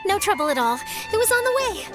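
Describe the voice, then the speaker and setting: A woman's voice: very high voice, very animated, fast, music in the background. A woman voicing an eager young female news reporter in a video game, perky, persuasive and theatrical. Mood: bright, polite. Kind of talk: animated video game voice acting.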